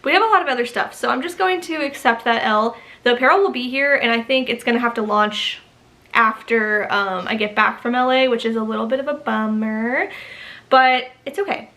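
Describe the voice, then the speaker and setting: A woman's voice: a little high, brisk, pushed and bright. A young woman talking casually to camera about her small business, rambling with lots of ums, a bit stuffy from allergies. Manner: chatty, rambling, many ums and asides. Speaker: small business owner vlogging. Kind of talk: casual vlog chat to camera. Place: home studio office.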